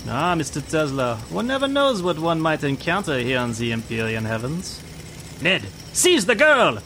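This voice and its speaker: A man playing a pompous, sly gentleman airship captain with florid, declamatory speech, as an old-time radio villain. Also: a little high, sing-song, normal speed, a little hiss or hum, exaggerated voice. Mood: menacing.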